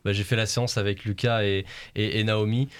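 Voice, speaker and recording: A man's voice: on the low side, steady tone, fairly quick, smooth. Give me a laid-back, playful French man talking about movies. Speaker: film podcast panelist. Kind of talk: casual film podcast chat.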